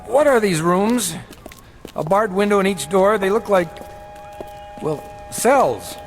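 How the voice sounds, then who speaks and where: An actor playing an exhausted, desperate lost traveler in a radio drama. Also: male voice, high-pitched, animated, slow pace, soft background music, full character voice. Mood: weary, puzzled. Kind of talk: dramatic radio acting with first-person narration.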